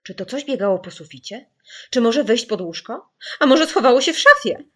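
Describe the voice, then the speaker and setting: A woman narrating a children's audiobook in a theatrical, suspenseful way with deliberate pauses. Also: on the high side, big pitch swings. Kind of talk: dramatic scripted children's story narration.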